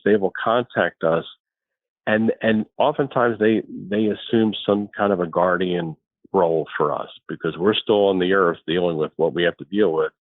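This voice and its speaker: A very low-voiced man talks thoughtfully as an interview guest. He often repeats a word or two before a thought settles. His voice comes through a thin, phone-like line with no room around it. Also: no room sound. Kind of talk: relaxed conversational interview. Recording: tinny phone-like line, dry.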